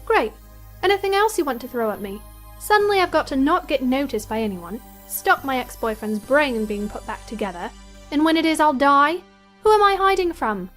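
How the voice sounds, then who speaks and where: A seventeen-year-old girl in a sci-fi audio drama, high-voiced and bewildered. She tosses out dry, sardonic remarks over faint music. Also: lively intonation, moderate pace, a bit bright. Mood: sarcastic, alarmed.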